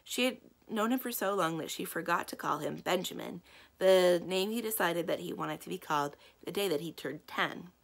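Low-pitched American woman reading a story. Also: moderate pace, vocal fry. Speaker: library read-aloud reader. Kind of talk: children's book narration.

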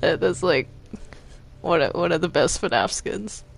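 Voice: mid-range voice, expressive, unhurried, gravelly, soft background music. A video game player chatting casually during play, hesitant and stammering over repeated words. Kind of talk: casual gaming chat while playing Minecraft roleplay.

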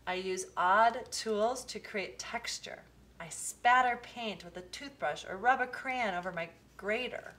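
A woman reading a children's picture book aloud, with expressive, deliberate phrasing. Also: mid-range voice. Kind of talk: storybook read-aloud for children.

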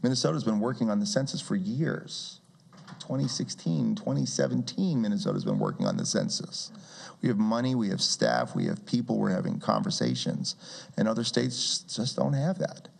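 A man's voice: fairly low pitch, sing-song, normal speed, vocal fry, breathy edge. A man in his forties speaking at a community meeting with a handheld mic, talking in a conversational way with many restarts and wry asides. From Brazil. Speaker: guest speaker. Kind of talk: public talk with audience questions. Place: community meeting room with seated audience.

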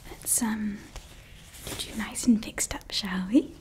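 A woman's voice: medium pitch, slow, darkish tone, lightly acted. A young woman playing a haughty female evil scientist in an ASMR roleplay, whispering close to the mic, scolding her staff and fussing over her creation. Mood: chatty, doting. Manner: mostly whispered, scolding and fussy. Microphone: close sensitive ASMR mic.

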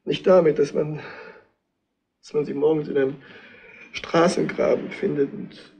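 An actor playing a distraught, jealous innkeeper in a retro radio crime play, speaking haltingly and repeating himself. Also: male voice, fairly high pitch, flat delivery, gravelly, dark tone, breathy edge, dull audio, full character voice. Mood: grieving. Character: distraught, jealous innkeeper husband of murdered wife.

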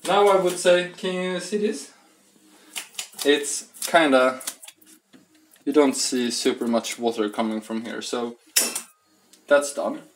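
A man's voice: mid-range voice, slow pace, pauses often, a little hiss or hum. A young man hosting a casual home cooking vlog, talking in a rambling, playful way with stumbling restarts while he works at the sink. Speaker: cooking show host. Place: small home kitchen, indoors. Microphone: camera mic from across the room. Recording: echoey kitchen, distant from mic.

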